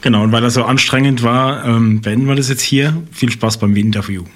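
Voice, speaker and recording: A man co-hosting a podcast in a relaxed, conversational way. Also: medium pitch, a little fast, background noise. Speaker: podcast co-host. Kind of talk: casual podcast chat. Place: on site at a training course.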